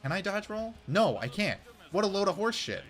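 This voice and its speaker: Young male streamer, hoarse from losing his voice, rambling play-by-play with cursing, faint game music underneath. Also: high-pitched, big pitch swings, moderate pace, a bit bright. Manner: rambling, swears when frustrated. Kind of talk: casual gameplay commentary.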